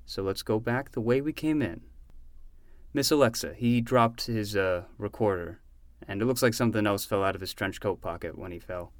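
A man playing a protective, plain-spoken uncle in a fiction podcast, gentle and reassuring though exasperated. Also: lowish voice, normal speed, no room sound, close to an everyday voice. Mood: soothing.

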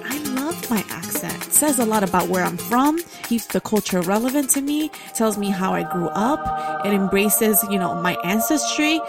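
A woman whose first language is Spanish, speaking English with a Spanish accent, hosting an emphatic, sassy comedic lesson. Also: medium pitch. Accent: Spanish-influenced English. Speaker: host. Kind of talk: comedic educational monologue. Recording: salsa music playing behind.

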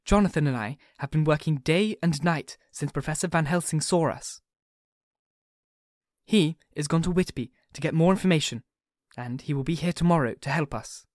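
A male narrator voicing a gentle, grieving young woman who speaks earnestly and with resolve, in a dry audiobook recording. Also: a little high, moderate pace, dry recording, voice pushed up, close to an everyday voice. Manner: soft and earnest.

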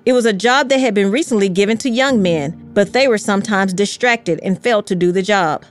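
A woman reading scripted history narration in a measured, carefully articulated storytelling way. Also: mid-range voice, soft background music. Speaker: podcast narrator.

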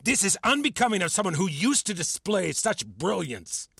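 A man's voice: mid-range voice, animated, moderate pace, breathy edge. A man playing a manipulative, patronising mentor in an audio drama, speaking articulately and with control as a self-styled father figure. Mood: indignant, agitated.

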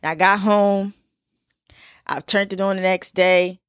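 A woman's voice: mid-range voice, fairly even intonation, measured pace, smooth, dry recording, studio quality. A woman in her twenties from Philadelphia, hosting a casual solo podcast in a chatty, laid-back way with lots of fillers and repeats. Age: 20s. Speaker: podcast host.

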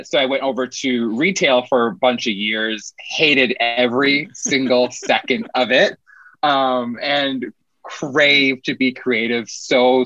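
Bright, upbeat man, slightly dull recording. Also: medium pitch, no echo. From Bronx, New York, USA. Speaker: guest, high school film teacher. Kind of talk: casual interview storytelling.

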